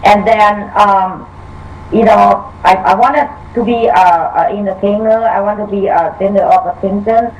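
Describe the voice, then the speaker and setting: An older woman from Thailand with a Thai accent, answering interview questions in halting, broken English full of fillers. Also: medium pitch, steady tone, distant mic, poor audio. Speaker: author guest.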